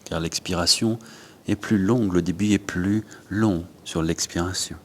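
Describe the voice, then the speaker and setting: A man leads a guided yoga and breathing class, giving unhurried instructions in short phrases with pauses. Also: sits low, fairly even intonation, a little mellow. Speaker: yoga teacher.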